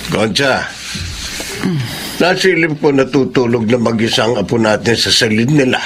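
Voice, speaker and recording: A man's voice: medium pitch, normal speed, music in the background, close to an everyday voice. A man playing a disapproving, pessimistic older father in a Filipino radio drama. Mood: inquiring. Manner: grumbling, skeptical.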